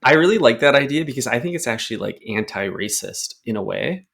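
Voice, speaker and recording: A man's voice: mid-range voice, expressive, fast talker, creaky, a bit bright, slightly rough. A young man co-hosting a podcast, talking in a jokey, rambling way and laughing often. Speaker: podcast co-host. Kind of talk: casual comedic chat.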